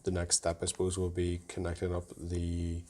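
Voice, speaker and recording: A man's voice: sits low, completely flat delivery, normal speed, warm tone. A man co-presenting a casual tutorial, agreeable and informal as he prompts and recaps.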